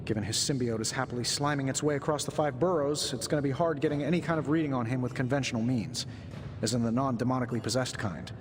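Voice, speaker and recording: An actor playing a wisecracking middle-aged inventor superhero in a video game, with a glib, chatty manner. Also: male voice, fast, close to an everyday voice. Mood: businesslike. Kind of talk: cinematic video game acting. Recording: long reverb, clear rumbling noise.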